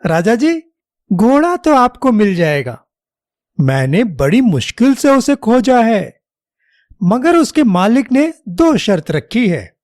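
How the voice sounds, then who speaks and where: A man playing a clever, loyal royal minister in an animated children's story, polite and deferential. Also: high-pitched, slow pace, dry recording, studio quality, heavily acted. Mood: sly, polite.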